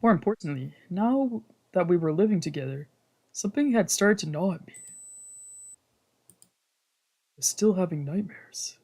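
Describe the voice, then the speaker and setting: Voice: mid-range voice, expressive, a little slow, long pauses, mellow. A streamer reading a visual novel story aloud as narration, sometimes stumbling and rereading phrases. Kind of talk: scripted narration read aloud in a let's play. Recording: game music behind the voice. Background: visual novel music.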